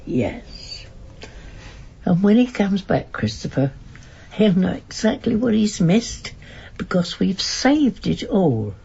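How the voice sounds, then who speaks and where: Elderly woman, very breathy, slow, wistful, devoted, radio drama, slightly dull recording. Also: mid-range voice, big pitch swings, dark tone, heavily acted. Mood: tender, grieving. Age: older. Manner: wistful, deliberate. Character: elderly working-class mother, wistful, devoted, clinging to memories. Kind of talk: naturalistic radio drama acting.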